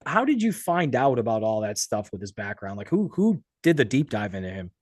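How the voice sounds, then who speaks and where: A man's voice: lowish voice, big pitch swings, fairly quick, no room sound, clean studio sound. A man from Boston hosting a casual interview in an informal, sometimes profane way. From Boston, United States. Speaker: interview host.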